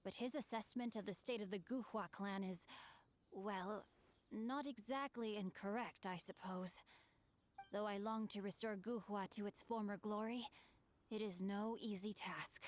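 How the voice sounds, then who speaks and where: A woman voicing a young, bookish male swordsman in a video game, speaking in a formal, courteous and measured way. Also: medium pitch, natural voice. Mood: earnest. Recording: game audio with faint music behind.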